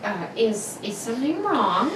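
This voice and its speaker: A woman's voice: on the high side, very animated, measured pace, a bit bright. Woman acting an anxious suburban mother, roomy and noisy. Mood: concerned. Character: anxious 1950s suburban mother, fretful, doting, musing. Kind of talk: amateur radio play reading. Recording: roomy, distant mic, clear background noise.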